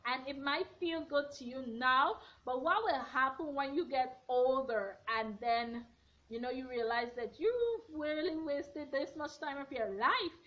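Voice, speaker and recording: A young woman in her twenties, slightly hoarse, giving a blunt, sassy recap of a reality show from her bedroom, filmed on a front camera at arm's length. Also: high voice, measured pace. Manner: sassy, blunt, animated hand gestures. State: a bit hoarse. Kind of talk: casual opinionated recap. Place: bedroom at home, indoors. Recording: dull lo-fi webcam audio.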